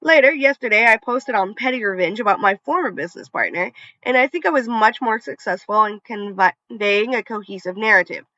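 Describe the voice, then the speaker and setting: A storyteller with a bright, forceful tone reads online revenge posts in a chatty way, tripping over a word here and there and restarting it. The voice sits close and dry, with no room sound, and the recording is a little dull and lo-fi. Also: medium pitch, expressive, a little fast, no echo. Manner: conversational reading, trips over words and restarts. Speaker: story channel narrator. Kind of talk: reading online revenge stories aloud with casual asides.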